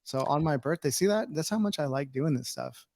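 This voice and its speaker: A man's voice: fairly high pitch, expressive, fairly quick, creaky, darkish tone, dry recording, clean studio sound. A man in his forties co-hosting a casual livestream chat, upbeat and rambling, laughing often. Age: 40s. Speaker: livestream co-host.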